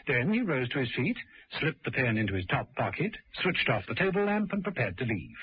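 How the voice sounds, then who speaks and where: A man's voice: mid-range voice, sing-song, brisk, slightly rough. A man narrating a radio drama from a script, as its storyteller. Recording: muffled, hissy old radio recording.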